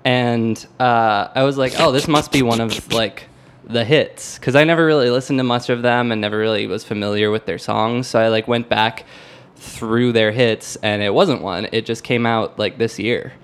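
A man's voice: mid-range voice, fairly even intonation, moderate pace, clean tone. A young American man hosting a podcast, chatting casually about music in a rambling, hesitant way that often circles back on itself. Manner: rambling, hesitant, self-interrupting. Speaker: podcast host.

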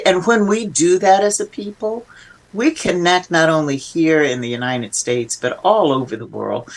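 A woman's voice: deep voice, low-quality recording. Reflective woman, older, being interviewed. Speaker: interview guest, filmmaker and nonprofit founder. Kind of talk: conversational interview.

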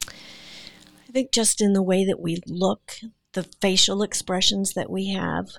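Gravelly, creaky-voiced woman chatting. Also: mid-range voice, steady tone, measured pace, no echo. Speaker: actress guest. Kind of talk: casual conversational interview.

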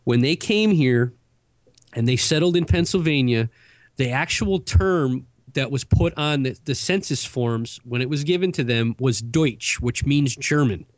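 A man's voice: medium pitch, animated, measured pace, no echo. A man in a casual podcast chat, explaining at length and correcting the others, sighing when frustrated. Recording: dull lo-fi sound.

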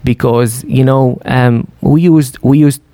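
A middle-aged man with a Syrian Arabic accent, telling his story as an interview guest, halting with many fillers and restarts. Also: mid-range voice, steady tone, dark tone, no room sound. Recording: dry studio.

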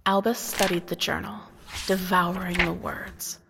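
A woman's voice: on the low side, level tone, unhurried, vocal fry, heavy background noise. A woman narrating a scripted fantasy story in a dramatic storytelling style. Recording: long reverberant hall effect.

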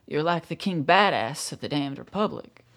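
An actor playing a nervous, contrite rural youth of about twenty in a radio play, hesitant, stammering and folksy. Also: male voice, on the high side, expressive, moderate pace, creaky, fairly bright tone. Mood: anxious, pleading. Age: young adult.

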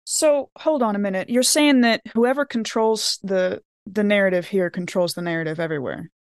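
A woman's voice: medium pitch, moderate pace, dry recording, clean studio sound. A woman playing a tabletop game, talking casually and a little tentatively in character. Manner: informal, tentative.

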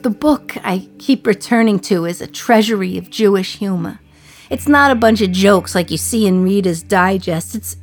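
An actress plays a condemned mother speaking from prison in a radio drama. Her medium-pitched female voice confides with dry gallows humour, wistful yet defiant. Music runs behind her monologue. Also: darkish tone, close to an everyday voice. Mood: reflective, wry.

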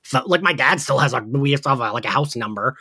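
A man's voice: medium pitch, animated, fast, bright, forceful tone, breathy edge. Male podcast co-host, middle-register voice, casual fan recap delivery, chatty and gossipy. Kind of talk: casual chat recapping an audio drama.

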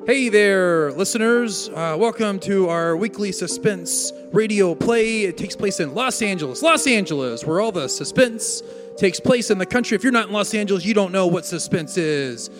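An earnest, high-voiced suspense announcer for a parody radio play, put on by a man. He overblows his hype in halting, repeated phrases, with faint music along with him. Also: lively intonation. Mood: earnest, hyping. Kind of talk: 1940s radio show parody narration.

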